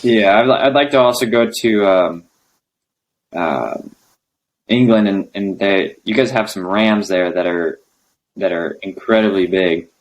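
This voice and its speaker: A young man speaking as a podcast guest, relaxed and hesitant, with many ums. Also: low-pitched, measured pace, poor audio. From United States. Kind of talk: casual interview conversation.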